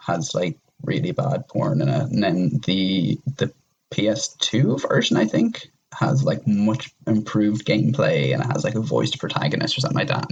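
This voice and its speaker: A man's voice: sits low, highs cut off, a little hiss or hum. A man chatting casually as a podcast co-host, rambling and hesitant, with casual swearing. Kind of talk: casual chat about visual novels.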